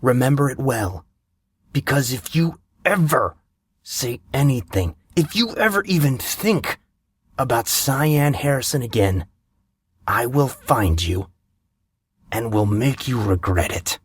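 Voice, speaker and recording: A man's voice: medium pitch, lively intonation, slow, breathy edge, no echo, pitched above the performer's own voice. A man voicing a furious young man who threatens a rival in a cold, clipped way. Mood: menacing.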